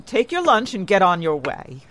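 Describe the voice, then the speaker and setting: A woman acting a fond, practical older wife and mother in an audio drama, gently chiding and fretful. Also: mid-range voice, very animated, normal speed. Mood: fondly scolding. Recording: audible background noise with clicks.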